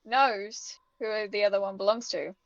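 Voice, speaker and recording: A woman's voice: medium pitch, fairly even intonation, normal speed, no echo. A woman chatting casually as a player in a tabletop roleplaying game, on a dull lo-fi microphone. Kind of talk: casual chat.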